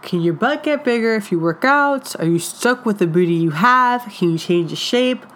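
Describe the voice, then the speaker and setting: A woman's voice: mid-range voice, expressive, normal speed, fairly bright tone. A young woman, a wellness coach, talking casually on her podcast with a stuffed-up nasal voice from a cold, full of filler words. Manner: chatty, lots of filler words.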